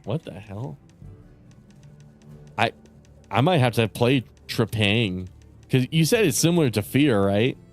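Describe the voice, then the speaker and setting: A man chatting casually with viewers on a live stream, rambling and swearing with lots of fillers, his voice starting to go raspy. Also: medium pitch, animated, measured pace, pauses often, faint music behind. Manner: rambling, profane, many fillers and restarts. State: voice getting raspy.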